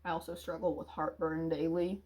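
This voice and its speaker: A woman in a confessional monologue, talking hesitantly and emotionally with many restarts and sighs, sometimes dropping to a quiet low mumble. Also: level tone, moderate pace, slightly rough. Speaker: YouTuber.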